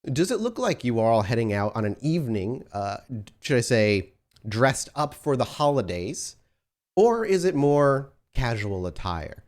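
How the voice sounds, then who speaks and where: A man running a tabletop role-playing game as storyteller, describing scenes and asking the players questions. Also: mid-range voice. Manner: descriptive, asks players questions with many fillers.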